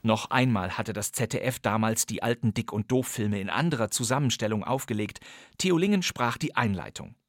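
A man's voice: fairly low pitch, lively intonation, fairly quick, no room sound. A man hosting a radio podcast, reading a script with wry, ironic commentary in a studio. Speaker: podcast host.

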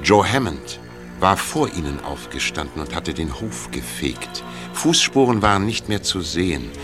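A man's voice: sits low, very animated, normal speed, over music. A man reading scripted narration for a mystery radio play.

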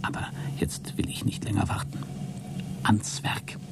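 An actor playing a deluded, exhausted astronaut who keeps a logbook in a radio play, halting, self-justifying and grandiose. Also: male voice, in a whisper, close to an everyday voice. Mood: tense, secretive. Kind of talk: dramatic radio play monologue.

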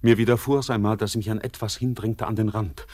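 An actor playing a tormented, brooding young man in a poetic radio drama, speaking in a halting, solemn and intense theatrical way. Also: on the low side, fairly even intonation, a little mellow, natural voice. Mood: haunted, confessing.

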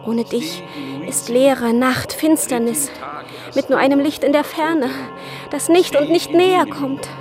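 An actress playing a desperate, devout young medieval woman in a German radio drama, halting and anguished in her delivery. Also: high voice, fairly even intonation. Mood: longing. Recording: music behind the voice.

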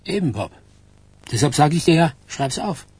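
Very husky, earnest mobster's son. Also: male voice, fairly high pitch, big pitch swings, moderate pace, a little mellow, heavily acted. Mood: persuading. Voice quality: raspy, husky. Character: earnest, loyal adult son of a mafia boss. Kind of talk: German radio crime drama acting.

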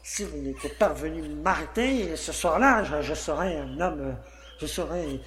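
A man's voice: a little high, animated, a little slow, fairly bright tone. An actor playing an indignant, self-pitying village mayor who stammers as he protests his innocence in a French audio drama. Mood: frightened, accusing. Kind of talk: French audio fiction acting. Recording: roomy, echoing sound.

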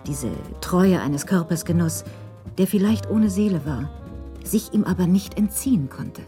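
A woman narrating a scripted German radio crime drama in a measured, literary delivery. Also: fairly low pitch, dark tone, soft background music.

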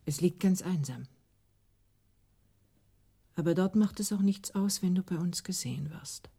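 A cool, intimate woman in a radio play. Her voice is lowish and mellow, very husky and breathy. She speaks quietly, as in private dialogue. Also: a little slow, exaggerated voice. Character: cool, intimate woman, wife of the writer's host.

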